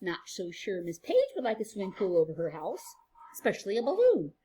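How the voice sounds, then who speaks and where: A woman teacher reading a picture book aloud to children, playful and sing-song, with quieter chatty asides. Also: mid-range voice, wildly swooping pitch, fairly quick.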